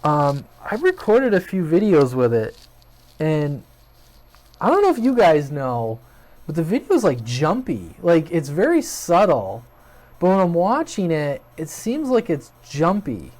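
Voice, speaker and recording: A man's voice: fairly high pitch, wildly swooping pitch, a little slow, a little mellow. A man hosting a hobby video channel, talking informally and off the cuff to viewers, rambling a little as he thinks aloud. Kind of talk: casual, unscripted channel update to viewers. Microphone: USB webcam at a desk.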